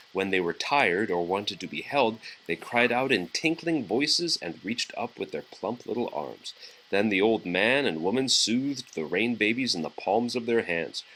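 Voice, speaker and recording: A man's voice: on the low side, pushed and bright, low-quality recording. A man reading a children's picture book aloud as a story for a young child.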